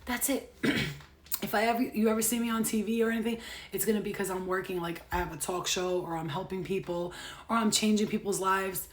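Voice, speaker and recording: A woman's voice: mid-range voice, a little fast. Brash, profane woman in her thirties. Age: 30s. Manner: brash, profane and animated, swinging between loud rants and soft earnest asides. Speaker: tarot reader and spiritual healer. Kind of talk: casual live-stream chat to viewers.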